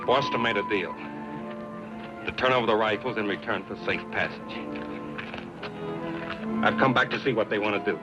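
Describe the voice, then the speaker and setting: Grim frontiersman played by a man under loud music. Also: on the low side, moderate pace, long pauses, close to an everyday voice. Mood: grave. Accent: American. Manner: terse, serious. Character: grim, resolute frontier wagon-train man. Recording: muffled old film soundtrack, loud music.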